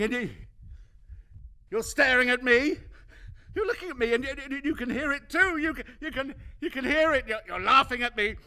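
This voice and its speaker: An actor playing a nervous, guilty murderer in a radio play, stammering and repeating himself in an over-eager, ingratiating way. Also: male voice, very high voice, bright, forceful tone, full character voice. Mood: frantic panic. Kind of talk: theatrical radio play acting.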